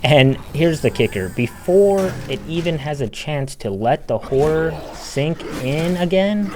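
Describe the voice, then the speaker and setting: A man's voice: fairly high pitch, expressive, a little slow, a little mellow, a little hiss or hum. A man delivering emphatic, opinionated video essay commentary as a game critic.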